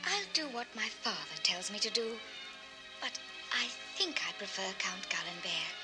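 A woman's voice: medium pitch, very animated, moderate pace, over music, close to an everyday voice. A woman playing a coquettish, fickle sixteen-year-old noble girl in a 1960s children's record drama. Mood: flighty, gossipy.

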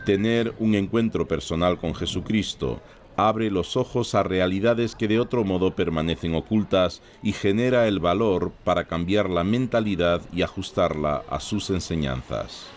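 A man reading a news report voice-over in a measured, formal way. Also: low voice, slight background noise. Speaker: narrator. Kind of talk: scripted news narration.

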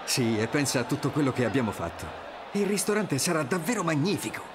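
A man playing an enthusiastic, devoted young prince in an animated game dub. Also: a little high, fast talker, noisy background. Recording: crowd and street ambience behind.

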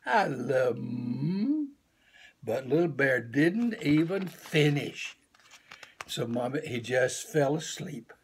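A man's voice: medium pitch, slight background noise. A man in his seventies reading a children's picture book aloud expressively in a small room at home. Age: 70s. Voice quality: gravelly, husky. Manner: slow, deliberate, animated.

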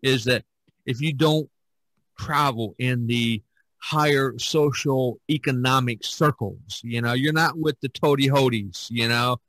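A man chats casually as a podcast host, with a medium-pitched voice in a dry recording. His delivery is folksy and rambling, often halting as he works toward his point. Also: fairly bright tone, no echo, poor audio. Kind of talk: casual conversation.

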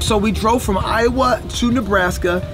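A man co-hosting a travel vlog, recapping a road trip in an excitable, chatty way and talking straight to viewers. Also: sits high, normal speed, music in the background. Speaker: vlog co-host. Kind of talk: casual recap chat.

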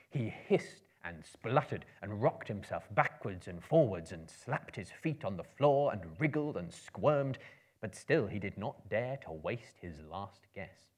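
A man in his thirties reading a story aloud dramatically, in a theatrical, expressive storyteller's manner. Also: fairly low pitch. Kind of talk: dramatic book reading to a live audience. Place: indoor room with bookshelves, small live audience.

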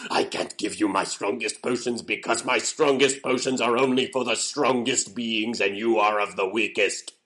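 A man's voice: on the low side, normal speed, hardly any pauses, fairly bright tone, natural voice. A man voicing a haughty potion seller in a comic cartoon sketch, pompous and dismissive. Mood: scornful. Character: haughty, stubborn potion seller refusing a customer.

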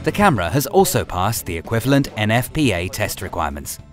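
A man reading a scripted training film narration in a measured, instructional manner over music. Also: fairly low pitch, big pitch swings.

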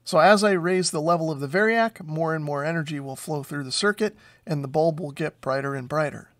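A man's voice: fairly high pitch, a little fast, fairly bright tone. An American man in his forties giving an informal technical tutorial in a methodical, explanatory way. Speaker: electronics repair video host. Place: small unfinished basement workshop.

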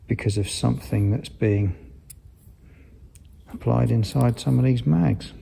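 A man's voice: medium pitch, vocal fry, warm tone. A man giving thoughtful, unscripted technical commentary as he works through a repair step by step, recorded on a hand-held device with some noise. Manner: thoughtful, explaining step by step while working. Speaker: aircraft maintenance engineer presenting a repair video.

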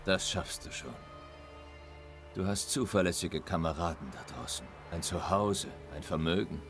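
An actor voicing a grizzled, laconic monster hunter in a German game dub, speaking in a terse, dry way. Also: male voice, low voice, a little slow. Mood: calm. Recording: studio dub over loud music.

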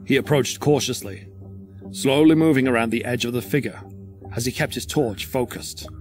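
Guy narrating a horror audio drama, mid pitched voice, music under him. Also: normal speed, a little mellow.

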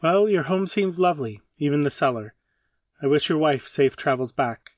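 An actor playing a polite, businesslike police officer in an audio drama, with a courteous, formal manner. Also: male voice, on the high side, expressive, normal speed, no echo, distant mic, muffled recording, lightly acted.

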